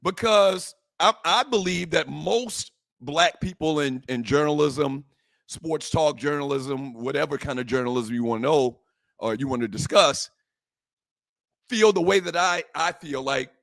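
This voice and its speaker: Fervent, emphatic sports talk host. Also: male voice, fairly high pitch, unhurried, gravelly, dry recording. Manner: fervent, emphatic, repeats phrases, rises to shouting. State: slightly hoarse, voice worn from shouting. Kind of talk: impassioned sports talk monologue.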